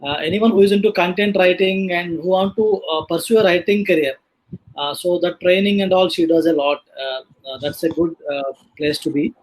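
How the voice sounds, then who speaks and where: Man, fairly high pitch, live session host, casual introductions, hesitant, filler-heavy, halting phrasing. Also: steady tone, poor audio. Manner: hesitant, halting delivery with many filler sounds. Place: online live video session.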